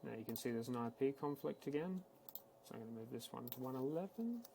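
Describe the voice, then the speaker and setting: A man giving a casual, matter-of-fact, step-by-step software walkthrough, speaking unscripted like a tech support instructor. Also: medium pitch, lively intonation, fast, vocal fry, slight background noise. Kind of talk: screen-recorded software tutorial, unscripted walkthrough.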